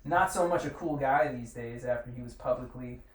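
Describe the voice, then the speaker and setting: A man's voice: mid-range voice, a little fast. A man hosting a casual group chat, talking in a crude, opinionated way with plenty of swearing. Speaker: podcast host. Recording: echoey room, distant mic, faint noise.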